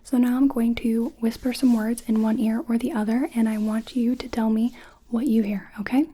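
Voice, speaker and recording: A woman whispering softly and playfully through an ASMR doctor's exam roleplay, drifting close to and away from the mic. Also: a little high, steady tone, normal speed, breathy edge.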